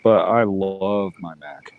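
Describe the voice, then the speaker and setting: Low male voice, casual, tosses out quick practical tips. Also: phone-quality sound, a little hiss or hum. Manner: offhand, gives quick advice. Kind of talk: casual tech chat.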